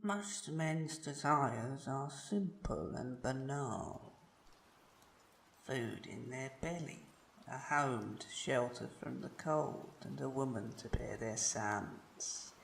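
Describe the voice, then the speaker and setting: A man's voice: medium pitch, expressive, creaky, a bit bright, slight background noise, heavily acted. A man playing a stern, foreboding mystic, portentous in a fantasy monologue. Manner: slow, portentous. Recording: echoey room.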